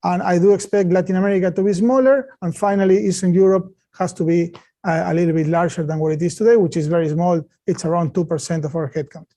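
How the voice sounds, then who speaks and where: High-pitched, mellow man, halting delivery. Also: steady tone. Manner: halting, repeats words. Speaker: company executive. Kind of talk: earnings call answers.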